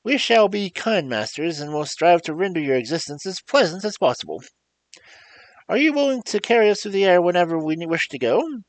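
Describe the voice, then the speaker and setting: Guy doing a kind straw scarecrow, high and friendly, cheering someone up. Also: sing-song, fairly quick, dry recording. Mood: kindly. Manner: kind, encouraging. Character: kindly straw-stuffed scarecrow man.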